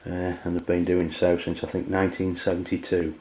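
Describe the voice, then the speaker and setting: Low, monotone Englishman, halting delivery. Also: muffled recording, a little hiss or hum. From England. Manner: hesitant, halting, plain-spoken. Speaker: amateur radio reviewer. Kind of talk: unscripted product review demonstration.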